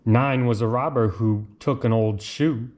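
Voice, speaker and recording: A man reads a children's storybook aloud in a deliberate, lilting way, with casual asides. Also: medium pitch, darkish tone, dull audio. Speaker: reader of picture books.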